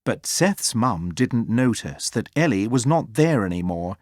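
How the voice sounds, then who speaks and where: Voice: mid-range voice, big pitch swings, a little slow, darkish tone, dry recording, clean studio sound. A storyteller reading a children's story aloud as scripted narration.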